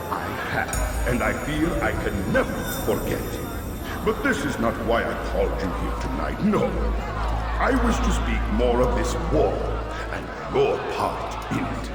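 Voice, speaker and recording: An actor playing an embittered merchant king in a video game, with grand, drawn-out, theatrical oratory. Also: medium pitch, distant mic. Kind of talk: dramatic video game villain acting. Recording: echoey hall, loud music behind.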